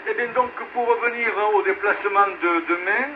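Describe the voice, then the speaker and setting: A man casually hosting an amateur radio net as its controller, often pausing to think, heard over a radio relay. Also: sits high. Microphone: two-way radio transmission. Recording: muffled, noisy VHF amateur radio relay.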